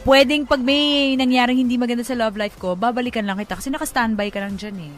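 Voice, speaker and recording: A Filipino woman talks as a radio co-host in a slightly high voice. She is conversational and expressive, often posing questions. English phrases slip into her casual advice. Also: fast talker, faint music behind. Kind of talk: casual banter and love advice.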